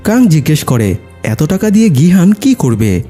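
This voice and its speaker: A man reads a scripted story recap in a fairly high voice. His pitch moves in lively swings, and the tone has a husky edge. Music plays underneath the narration. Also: moderate pace. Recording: music behind the voice. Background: background music.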